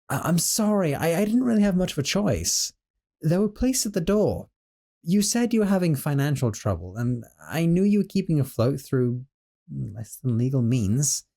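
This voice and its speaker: A man voicing a gentle, nervous young sorcerer roommate in an audio roleplay, talking in a soothing, hesitant way with stammers. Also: medium pitch.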